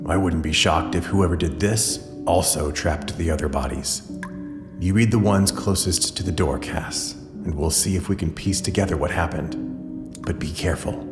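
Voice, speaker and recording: An actor playing a gruff, proud, protective brother and battle-worn hunter in an audio drama, blunt and commanding. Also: male voice, low-pitched, normal speed, music in the background, natural voice. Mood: wary, grave. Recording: echoey hall with long reverb.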